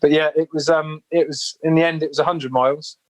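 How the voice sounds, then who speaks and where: A man talks quickly in a slightly higher-pitched voice than average. He is an informal, enthusiastic interview guest who tells his story like casual chat among friends. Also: steady tone. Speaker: podcast guest, endurance swimmer.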